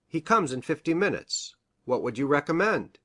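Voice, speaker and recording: A man playing an earnest, anxious country doctor in a Victorian detective story, speaking formally and deliberately. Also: on the high side, very animated, a bit bright. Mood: formal, questioning. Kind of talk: dramatised reading of a Victorian detective novel.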